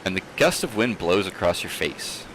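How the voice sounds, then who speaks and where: Male storyteller-host, low creaky register, very animated intonation, theatrical read with offhand commentary. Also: moderate pace, a little hiss or hum, poor audio. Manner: theatrical, expressive delivery. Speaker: streamer host reading aloud. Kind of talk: dramatic story reading with casual asides.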